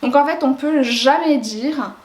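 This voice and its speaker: Young woman, high voice, thinks out loud with lots of hesitations, echoey room. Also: unhurried. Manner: reflective, with frequent hesitations. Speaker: educational YouTuber. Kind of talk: explanatory talk to camera.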